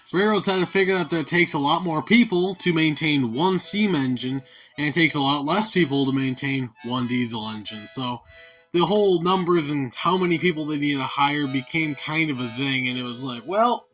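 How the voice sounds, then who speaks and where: Folksy guy hosting a youtube show, sharp bright voice, bit of twangy guitar and harmonica music under him. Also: mid-range voice, poor audio. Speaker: video series host. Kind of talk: casual scripted-then-improvised YouTube commentary.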